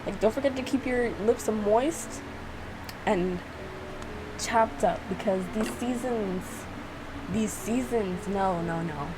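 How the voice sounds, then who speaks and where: Slow, playful woman rambling over noise. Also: mid-range voice. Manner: playful, self-mocking. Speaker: YouTuber reacting to own visual novel. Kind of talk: casual rambling reaction commentary. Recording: clearly noisy room recording.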